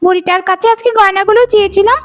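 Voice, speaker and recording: A woman's voice: very high voice, steady tone, rapid-fire. A woman playing a greedy, scheming young daughter-in-law in a cartoon, whiny and theatrical. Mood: irritated, plotting. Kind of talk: Bengali animated moral-story voice acting.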